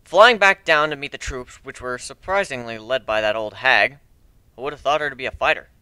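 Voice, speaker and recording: A man's voice: mid-range voice, fairly quick, pushed and bright, smooth, no room sound. A man narrating a first-person story as a dramatic reading, with a wry, sardonic manner.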